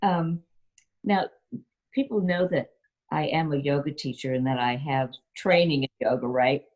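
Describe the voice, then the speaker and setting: Middle-aged female yoga teacher, lowish voice, encouraging interview guest. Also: moderate pace, dull audio. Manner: earnest, encouraging, repeats key phrases. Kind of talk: interview answers, giving teaching advice.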